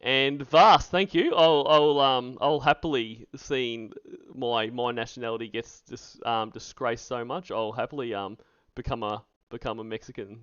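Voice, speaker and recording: A man's voice: medium pitch, a little slow, fairly bright tone, clean tone, dry recording. A man hosting a livestream, giving casual, sarcastic commentary in a mocking, profane way. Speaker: livestream host reacting to recorded calls. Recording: dull lo-fi stream audio.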